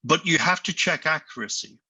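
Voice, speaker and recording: A man's voice: fairly high pitch, brisk, husky, no room sound. A man, a business school professor, speaking animatedly and emphatically on a panel over a video call. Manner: animated, emphatic, with frequent restarts.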